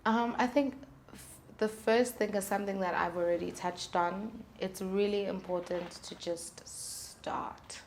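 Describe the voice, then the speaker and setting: A woman's voice: medium pitch, fairly even intonation, unhurried, a little mellow, slight background noise. A woman talking candidly and earnestly as a guest in a conversational interview. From South Africa. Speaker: singer-songwriter, interview guest.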